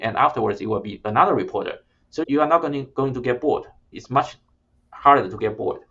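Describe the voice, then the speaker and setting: A founder talking through a dull, lo-fi video call line. He is a man whose first language is not English, and his mid-pitched voice carries a gravelly fry. He explains things plainly, restarting words as he goes. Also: a bit bright. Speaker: startup founder. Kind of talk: interview conversation.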